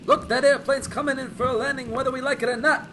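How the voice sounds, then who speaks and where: A man's voice: very high-pitched, little pitch movement, fast talker, bright, forceful tone, slight background noise. An actor playing a bossy, slangy airfield controlman in an old time radio drama. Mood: bossy, startled. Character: brash, dutiful airfield controlman, bossy with his partner. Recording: music bed behind the dialogue.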